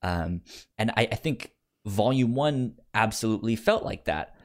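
A man co-hosting a casual review discussion, halting and repeating words as he thinks out loud. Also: on the low side, lively intonation. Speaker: podcast co-host reviewing comics.

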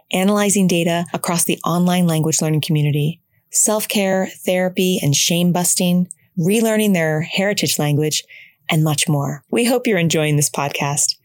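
A woman's voice: lowish voice, darkish tone, no room sound. A woman co-hosting a podcast interview, talking in an upbeat, chatty way. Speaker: podcast co-host.

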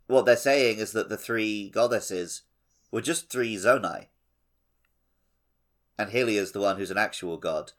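A man's voice: lowish voice, measured pace, pauses often. A man co-hosting a podcast, explaining things informally in casual talk about a video game. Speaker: podcast co-host.

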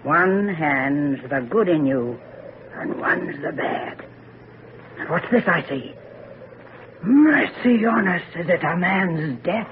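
A tinny, slightly noisy old radio recording carries an ominous old country woman who tells fortunes. Her speech is rustic and foreboding, with a sharp tongue, and her voice sits fairly low for a woman. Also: expressive, slow. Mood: grave, accusing. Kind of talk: old-time radio drama acting.

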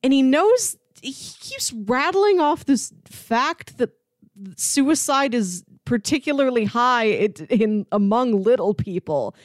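A woman's voice: fairly high pitch, animated, takes it slowly, dry recording. A woman co-hosting a film podcast, talking in a casual, conversational way.

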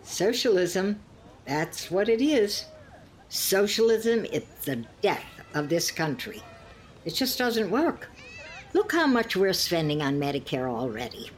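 Woman acting slow, prim elderly lady, lilting and judgmental. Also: fairly low pitch, sing-song, poor audio. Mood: fretful, judgmental. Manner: prim, sighing, pointedly polite barbs. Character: elderly woman, genteel but judgmental, passive-aggressive old friend. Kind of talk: scripted two-hander audio comedy-drama.

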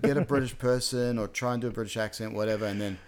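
A man's voice: on the low side, fairly even intonation, quick pace, crowd noise. A man co-hosting a casual film chat, talking in a rambling, digressive way and correcting himself as he goes. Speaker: podcast co-host. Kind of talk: casual chat about films.

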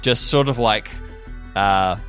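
A man acting as game master, improvising descriptive scene narration for a tabletop roleplay, halting as he searches for words. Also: fairly low pitch, fairly bright tone, faint music behind.

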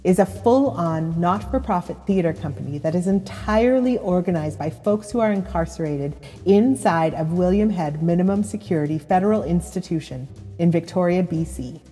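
A woman's voice: lowish voice, moderate pace, few pauses, dark tone, long reverb tail, soft background music. A woman hosting, giving a scripted podcast introduction. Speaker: host.

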